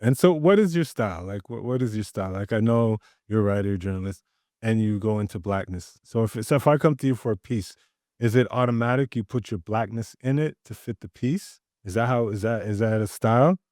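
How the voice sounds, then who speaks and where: A man with a lowish voice hosts a podcast interview, asking casual questions and cheering the guest along. He keeps up short acknowledgements throughout. The sound is close and dry. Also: a little fast, darkish tone, dry recording. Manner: encouraging, with frequent short backchannels. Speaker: podcast host.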